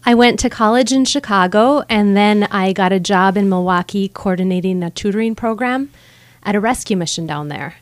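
A woman's voice: medium pitch, normal speed, no echo. Earnest woman in her thirties, dry studio. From Upper Peninsula of Michigan. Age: 30s. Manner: earnest, friendly, light humor. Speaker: guest author. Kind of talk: radio interview. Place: radio studio. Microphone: studio microphone.

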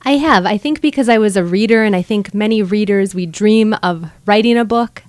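A woman's voice: medium pitch, brisk, no echo. A woman in her thirties from Michigan's Upper Peninsula, a guest author speaking earnestly with light humor on a studio microphone. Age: 30s. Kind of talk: radio interview. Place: radio studio.

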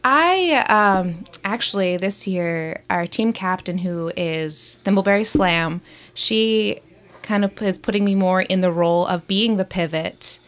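A woman talking casually as a guest in an interview, bubbly and loud-voiced, often breaking into laughter. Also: medium pitch, measured pace. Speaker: roller derby skater, guest.

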